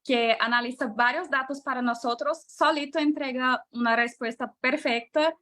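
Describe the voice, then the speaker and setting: A woman's voice: high-pitched, steady tone, moderate pace, fairly bright tone. Hesitant woman moderating a panel. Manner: hesitant, searching for words, self-correcting.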